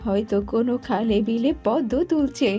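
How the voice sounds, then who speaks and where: A woman's voice: on the high side, moderate pace, mellow, dull audio, soft background music, lightly acted. A woman voicing an exasperated cartoon mother bird, nagging and full of strict threats.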